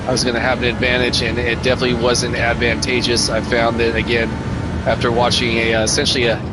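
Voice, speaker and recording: A man's voice: medium pitch, heavy background noise. A middle-aged man, tired and losing his voice, chatting casually and rambling while he races, saying "man" a lot. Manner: laid-back, rambling, says "man" a lot. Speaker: sim racing streamer. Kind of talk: casual chat while racing. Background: loud music.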